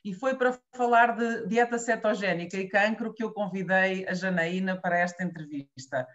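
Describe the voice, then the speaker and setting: A Portuguese woman interviewer, speaking at a mid-range pitch with a polite, formal manner. Her voice comes through a slightly dull, lo-fi remote connection. She gives the guest generous praise. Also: moderate pace, fairly bright tone. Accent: European Portuguese. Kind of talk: video call interview.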